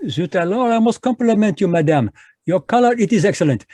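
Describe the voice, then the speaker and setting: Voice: medium pitch, lively intonation, brisk, over music, natural voice. A performer voicing a fussy, polite, shrewd Belgian male detective with a Belgian French accent, speaking courtly and hesitant in a live radio drama read over a video call. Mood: probing.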